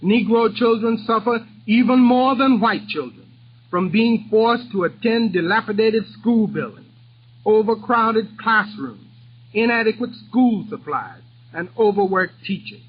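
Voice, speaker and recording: Man with a high voice, earnest and declamatory speech, dull muffled old recording with faint hiss. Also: a little slow, breathy edge. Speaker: speaker at a political address. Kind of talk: formal 1930s political speech.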